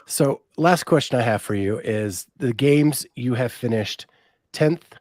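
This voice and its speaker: Male podcast host, mid-pitched, dry close recording, easygoing interviewer. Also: unhurried, darkish tone, no echo. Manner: easygoing, asks questions, reads listener comments.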